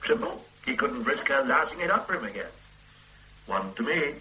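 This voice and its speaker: A brisk, self-assured detective in a radio drama, quick to dismiss any doubt. His voice sits mid-range but bright and lively in its rises and falls. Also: medium pitch, low-quality recording. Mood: dismissive. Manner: brisk, dismissive, matter-of-fact. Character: confident male police detective, brisk and certain. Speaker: senior police detective.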